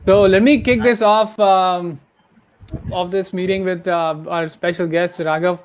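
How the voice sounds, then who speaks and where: A man's voice: high-pitched, fairly even intonation, measured pace. A man in his thirties hosting a conversational interview over a video call, using wired earphones. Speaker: interview host. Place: indoor room, remote video call. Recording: dull video-call audio.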